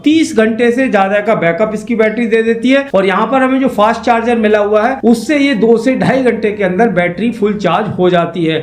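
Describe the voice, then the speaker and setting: High-pitched man presenting a product review. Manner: instructional, addresses viewers directly. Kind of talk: unboxing and review narration, explanatory.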